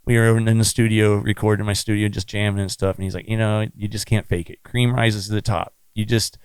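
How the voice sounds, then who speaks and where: A man with a low, raspy voice, chatting bluntly as a musician guest on a podcast. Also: level tone, brisk, dry recording. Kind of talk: casual podcast interview.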